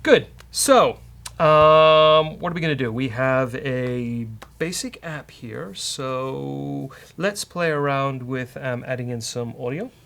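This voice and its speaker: A man with an Irish accent hosting a casual live-coding demo, dry, teasing and halting. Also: medium pitch, creaky, a bit bright. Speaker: host, software developer.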